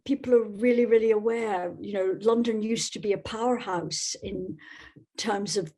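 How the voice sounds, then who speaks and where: A woman from Scotland giving thoughtful, halting conversational answers as a podcast guest. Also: mid-range voice, mellow.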